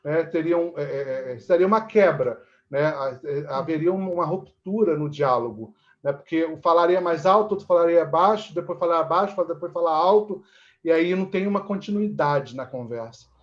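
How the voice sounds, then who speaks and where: A man's voice: fairly high pitch, moderate pace. A Brazilian man giving conversational, explanatory interview answers, often checking for agreement with short tag questions. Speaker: interviewee, actor and theatre teacher. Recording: dull, lo-fi audio.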